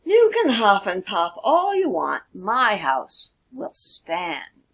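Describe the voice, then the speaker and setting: A woman's voice: medium pitch, huge pitch swings, slow, husky, exaggerated voice. A woman playing a bold, proud, educated female pig in a children's storybook, speaking assertively and defiantly. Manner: assertive, declaiming. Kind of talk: children's storybook read-aloud.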